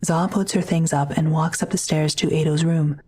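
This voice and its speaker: A woman's voice: sits low, fairly even intonation, moderate pace, mellow, husky. A woman reading scripted narration for an audio drama as its narrator.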